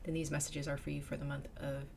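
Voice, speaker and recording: Tarot reader, female, gravelly and a little nasal from a cold, chatty with whispered asides. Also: deep voice, level tone, fast, darkish tone, a little hiss or hum. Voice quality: slightly nasal. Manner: chatty, drops to a whisper in invocations. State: getting over a cold. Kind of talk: casual tarot reading.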